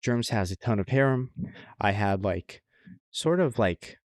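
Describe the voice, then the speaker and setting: Male podcast co-host, lower register, dry sound, loose chatty delivery with repeated phrases and casual swearing. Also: animated, unhurried, no room sound. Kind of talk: casual podcast chat about anime.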